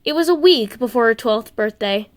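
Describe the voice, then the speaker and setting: A woman's voice: on the high side, expressive, normal speed. A woman narrating a story expressively as an amateur reader, sometimes stumbling and rereading words.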